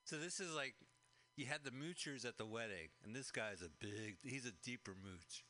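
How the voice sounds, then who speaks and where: A man's voice: mid-range voice, normal speed, pushed and bright. A man co-hosting a radio show, chatting casually and jokily about a film he is watching. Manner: jokey, rambling, chuckling asides. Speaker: radio co-host. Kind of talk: casual movie commentary chat.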